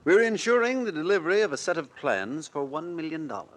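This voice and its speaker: An actor playing a poised, cultured insurance executive in a 1940s radio drama. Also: male voice, fairly high pitch, sing-song, brisk. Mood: businesslike. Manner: polished, businesslike, to the point.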